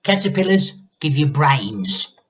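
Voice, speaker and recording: Man acting a witchy old granny, wildly swooping pitch, drawn-out gloating menace, storybook villain, muffled recording. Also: big pitch swings. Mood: scolding. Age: older. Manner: drawn-out, gloating, sometimes throaty whispering. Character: nasty old witch-like grandma, gleefully menacing.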